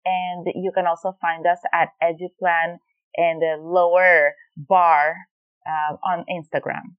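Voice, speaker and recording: A woman's voice: lowish voice, little pitch movement, slow pace, clear, resonant tone, no room sound, dull audio. A woman from Venezuela working as an educational consultant, explaining her advice in a podcast interview with some fillers and restarts.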